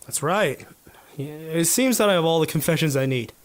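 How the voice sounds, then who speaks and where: A man's voice: on the high side, expressive, normal speed, lightly acted. A man playing an earnest, trusting 1950s policeman in a noir radio drama, speaking in a hesitant, conversational way. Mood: relieved, unsure.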